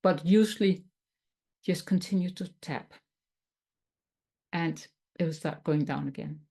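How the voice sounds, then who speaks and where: Lower-voiced Danish woman teaching calmly. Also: a little slow, vocal fry, husky. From Denmark. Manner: gentle, explanatory, occasional non-native phrasing. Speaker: tapping coach. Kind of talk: webinar teaching.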